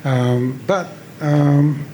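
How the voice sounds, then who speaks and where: A man who runs a kitchen with employees, speaking haltingly with many pauses on a live panel. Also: fairly high pitch, dark tone, slight background noise. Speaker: chef with employees. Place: restaurant with a live audience.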